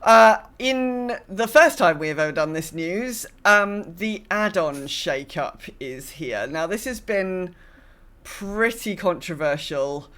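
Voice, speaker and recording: A woman's voice: on the low side, expressive, slow pace, fairly bright tone. A woman co-hosting a podcast, casually talking through news items. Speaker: podcast co-host.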